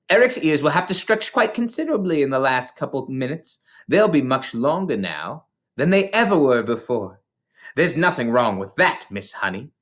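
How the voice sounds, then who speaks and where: A reader voicing a bullying, contemptuous older headmistress from a storybook, scolding with heavy, drawn-out emphasis. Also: medium pitch, fairly bright tone, pitched above the performer's own voice. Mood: gloating. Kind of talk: storybook character voice in a read-aloud.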